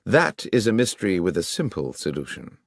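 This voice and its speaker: A man's voice: low voice, sing-song, fairly quick, vocal fry, dry recording, clean studio sound, heavily acted. A man voicing a brilliant, coolly confident detective with a British accent and a precise, assured delivery in an audiobook. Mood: measured reasoning. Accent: British English.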